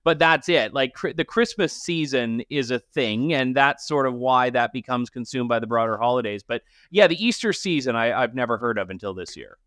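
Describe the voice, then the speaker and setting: A man who hosts a talk radio show, joining a casual podcast chat, speaking in an articulate, wry and measured way. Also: medium pitch, fairly bright tone, dry recording, clean studio sound. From Canada.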